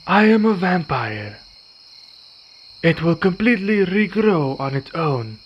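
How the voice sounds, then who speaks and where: A man playing a weary, courteous vampire in an ASMR roleplay, speaking in formal, measured phrases. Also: fairly high pitch. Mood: reassuring. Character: weary, courteous male vampire, wounded but calm. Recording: dull recording with night insect noise. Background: crickets and night insects.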